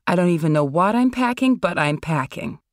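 A woman's voice: fairly low pitch, expressive, fairly quick, no echo, studio quality, lightly acted. A reader playing a dramatic older female screen diva, theatrical, panicky and sarcastic, in a short story. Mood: flustered.

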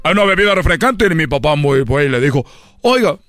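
A man's voice: on the high side, very animated, fairly quick, fairly bright tone, no echo, studio quality, faint music behind. A man playing a boastful baseball player, who rambles and stammers through his stories. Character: boastful Cuban baseball player, claims a famous father.

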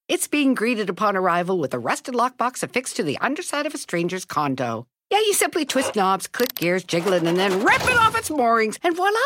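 A woman's voice: mid-range voice, a bit bright, no room sound. A woman reading a scripted commercial voice-over in a studio with a wry, sarcastic delivery. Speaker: ad narrator.

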